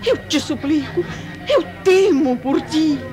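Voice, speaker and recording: Slow, pleading Roman noblewoman. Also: sits high, expressive, over music. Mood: anxious pleading. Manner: solemn, imploring. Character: anxious pleading wife of a Roman governor. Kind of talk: old-fashioned radio drama acting.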